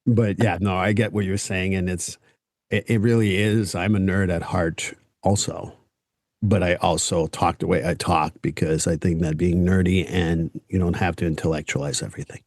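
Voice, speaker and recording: A low-voiced man with a French Canadian accent hosts a relaxed podcast chat. He speaks bluntly and swears now and then, in a French speaker's English. The sound is dry and close, with no room echo. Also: moderate pace. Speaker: podcast host. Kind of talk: casual interview chat.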